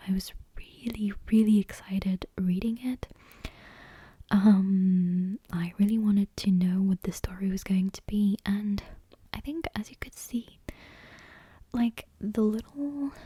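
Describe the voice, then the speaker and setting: Female ASMR host. Whispered, very airy delivery, soft and dark, close-miked in a dry space. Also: mid-range voice, no room sound. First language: non-native English speaker. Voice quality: breathy, unvoiced whisper. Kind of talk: whispered ASMR bedtime reading and casual chat. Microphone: close microphone.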